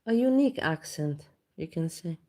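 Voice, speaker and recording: Low-voiced Austrian woman, rambling candid vlog chat. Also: animated, moderate pace, mellow. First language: German. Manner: rambling, candid, swears casually. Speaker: vlogger. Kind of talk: casual chat.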